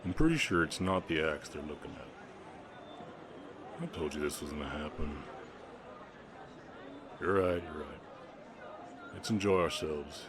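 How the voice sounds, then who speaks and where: A man playing a kind, protective, shy orc ranch hand with a Southern US accent, speaking gently and plainly in an intimate roleplay. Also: rumbling low, noisy background. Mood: easygoing. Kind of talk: intimate ASMR romance roleplay.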